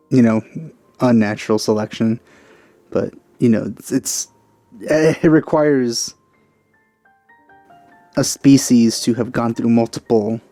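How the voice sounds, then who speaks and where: A man giving casual, halting, nitpicky running commentary as he plays a video game. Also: mid-range voice, mellow, dry recording. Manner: halting, self-correcting, nitpicky. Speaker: let's player commenting on a game.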